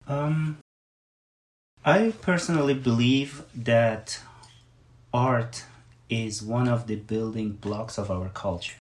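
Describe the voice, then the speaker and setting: A man in his forties from Afghanistan, a guest answering interview questions with many pauses and fillers. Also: medium pitch. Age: 40s.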